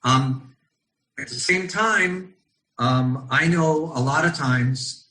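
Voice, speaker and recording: A middle-aged American man from Los Angeles, a rabbi leading an online study session over a video call, speaking thoughtfully and often pausing with ums as he searches for words. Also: fairly high pitch, pushed and bright, rough recording. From Los Angeles, United States. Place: at home in Israel.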